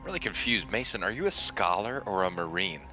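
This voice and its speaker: A male tabletop player with a deep voice bickers casually in character. His delivery is animated and swings widely in pitch, over clearly audible music. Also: brisk, rough recording.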